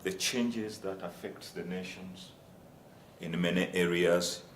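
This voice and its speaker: A man from Uganda, giving a formal presentation on a university panel and addressing the audience as ladies and gentlemen. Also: deep voice, fairly even intonation, slow pace. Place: lecture room. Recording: echoey room with faint ambient noise.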